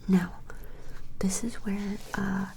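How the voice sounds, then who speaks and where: A woman whispers slowly in a soft, mellow voice for an ASMR role-play. She plays an attentive, reassuring shopkeeper, speaking close to the mic in an even, hesitant murmur. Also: medium pitch, slight background noise, rough recording. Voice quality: breathy whisper. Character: attentive vampire shopkeeper measuring a creature customer. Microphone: close-up microphone.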